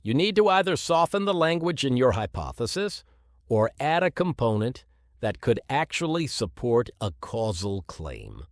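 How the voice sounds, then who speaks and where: Male actor as authoritative professor, critical and precise, highly varied intonation, dry close sound. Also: very animated, moderate pace, no room sound. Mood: firm, critical. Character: male professor supervising students, exacting and measured. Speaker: academic supervisor. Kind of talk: scripted exam listening dialogue.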